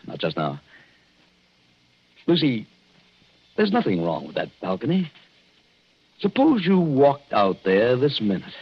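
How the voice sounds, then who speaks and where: An actor playing an anxious young husband, protective and skeptical, with jittery, emphatic reassurances in 1940s radio drama style. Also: male voice, mid-range voice, huge pitch swings, a little slow, pauses often, slightly rough, heavily acted. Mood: uneasy, reassuring. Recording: dull 1940s radio recording.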